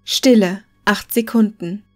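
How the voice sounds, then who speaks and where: A woman's voice: mid-range voice, slow pace, faint music behind. A woman narrating a scripted fictional case file in a formal, report-like manner.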